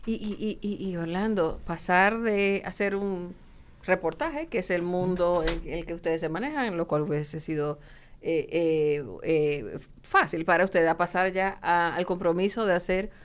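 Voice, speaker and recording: Woman, 60s, podcast host; smooth, resonant voice, hesitant delivery. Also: lowish voice, unhurried. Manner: hesitant, many filler sounds. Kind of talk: interviewing guests.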